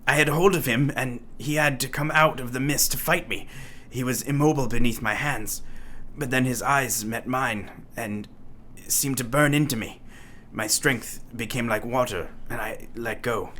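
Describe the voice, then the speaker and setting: A man playing a tormented madman in a radio play, delivering a halting, dramatic confession. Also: medium pitch, pushed and bright, a little hiss or hum, close to an everyday voice. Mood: anguished. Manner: haunted, dramatic, halting monologue.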